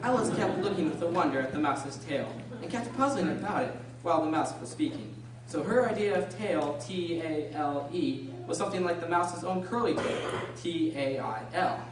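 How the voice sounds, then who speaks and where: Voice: medium pitch, animated, normal speed, natural voice. A narrator reading a scripted story for a radio drama. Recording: echoey room, distant mic, faint noise.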